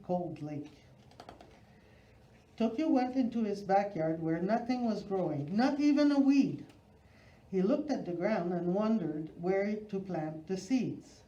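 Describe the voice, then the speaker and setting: A woman tells a children's story in a mellow, soft-edged voice at a medium pitch. She reads expressively, phrase by phrase, leaning on key words. The recording is roomy and slightly dull. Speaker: storyteller reading a children's book. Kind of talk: expressive read-aloud of a children's picture book.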